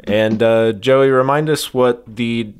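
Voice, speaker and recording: A man's voice: mid-range voice, fairly even intonation, takes it slowly. A man hosting a comedy podcast in casual, bantering talk. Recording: faint background noise, clicks.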